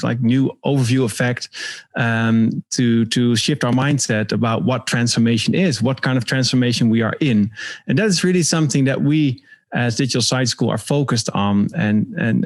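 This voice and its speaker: A man's voice: medium pitch, no echo. A man speaking as a panel guest, explaining ideas conversationally and tagging points with a questioning "eh". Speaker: panel guest, digital education school representative.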